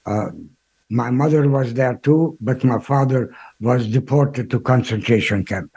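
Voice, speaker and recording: A man in his eighties from Hungary, speaking with a Hungarian accent, answering interview questions in a halting way with restarts. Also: mid-range voice, dark tone, slightly rough, rough recording. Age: 80s. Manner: halting, repeats and restarts words. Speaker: guest, Holocaust survivor. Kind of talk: interview answers, recounting history.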